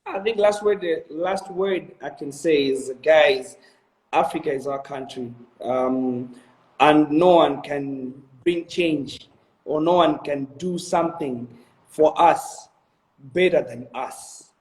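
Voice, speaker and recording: A man's voice: fairly high pitch, slow pace, reverberant, low-quality recording. A young man in his twenties with a Malawian accent, answering interview questions in an earnest, motivational way, with many ums and restarts. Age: 20s. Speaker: interview guest, video director.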